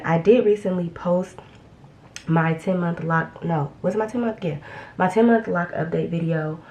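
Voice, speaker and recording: A woman's voice: deep voice, a little mellow, slight background noise. A young woman chatting casually while doing her makeup at home, a little congested after being sick, rambling with lots of ums and restarts. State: slightly congested after illness. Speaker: beauty vlogger. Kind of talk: casual get-ready-with-me chat.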